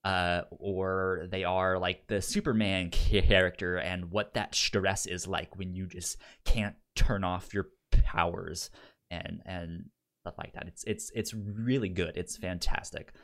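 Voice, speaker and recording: A man with a fairly low voice co-hosts a podcast. He talks casually in a halting flow, often repeating word starts as he works toward his point. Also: fairly even intonation, fairly bright tone. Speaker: podcast co-host. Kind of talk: casual chat, reviewing and recommending.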